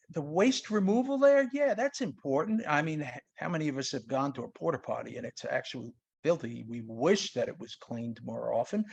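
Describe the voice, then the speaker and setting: Higher-pitched New York man presenting. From New York, United States. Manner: conversational, plain-spoken, uses everyday examples. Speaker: sales tax consultant presenting. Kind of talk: informal explanatory webinar lecture with slides.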